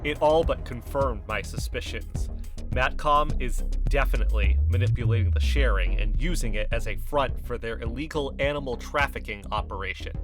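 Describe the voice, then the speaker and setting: An actor playing an excitable, conspiracy-minded young podcast investigator in an audio drama, dramatic and suspicious. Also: medium pitch, moderate pace, loud music behind the voice, natural voice. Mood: conspiratorial. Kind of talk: audio fiction acting.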